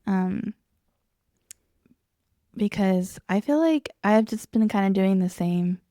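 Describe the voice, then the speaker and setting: A woman's voice: mid-range voice, lively intonation, slow, warm tone, no room sound, clean studio sound. A young woman co-hosting a podcast, explaining things in a casual, conversational way. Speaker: podcast co-host.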